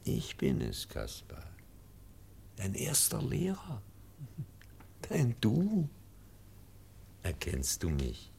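A man's voice: lowish voice, huge pitch swings, very slow, creaky, long pauses, mellow, slight background noise, heavily acted. An actor playing a solemn, grieving male professor and devoted teacher in a radio drama, emphatic and bitter. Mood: tender, grieving.

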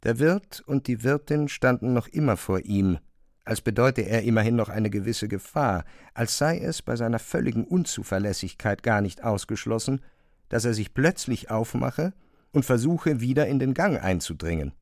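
A man's voice: medium pitch, animated, moderate pace, darkish tone, dry recording, studio quality. A man reads scripted literary narration for a radio drama.

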